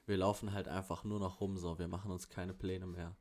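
A man with a fairly low voice chats casually, rambling loosely in slang with plenty of fillers. He sounds like he is sitting in a kitchen among friends. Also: little pitch movement, fairly quick, darkish tone. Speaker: rapper guest. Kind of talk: casual chat. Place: kitchen of an apartment.

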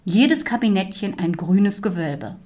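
A woman reading a fairy tale as narrator, with expressive storyteller phrasing. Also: mid-range voice, a little slow. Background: music.

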